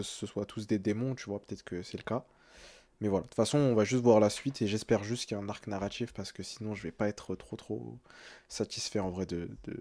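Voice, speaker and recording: A man's voice: on the low side, mellow. A man giving casual reaction commentary as a streamer, hesitant and often repeating his words. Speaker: streamer reacting to horror videos.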